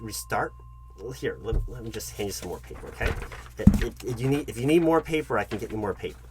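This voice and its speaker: A man performing a comedic job interview roleplay, speaking straight to the listener in a fussy, halting, repetitive manner. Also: medium pitch, animated, heavy background noise. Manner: fussy, halting, repeats himself, addresses listener directly. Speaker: ASMR channel host acting as job interviewer.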